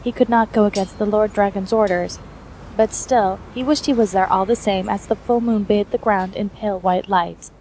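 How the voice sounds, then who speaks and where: Female narrator for a hobby audio story, mid-pitched scripted read, with clear background noise. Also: moderate pace. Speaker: fan fiction reader, narrator. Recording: noisy hobby recording, steady background noise.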